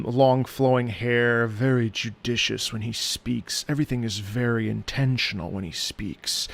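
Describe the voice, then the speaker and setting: A man who is an opera singer giving reaction commentary on game music, halting and thoughtful as he searches for words. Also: medium pitch, vocal fry. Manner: breaks into sung demonstrations of musical phrases.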